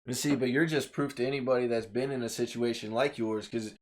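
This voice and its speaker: A man's voice: medium pitch, steady tone, fairly quick. Teasing man hosting a podcast. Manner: teasing, swears casually, asks probing questions. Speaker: podcast host. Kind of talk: casual podcast chat.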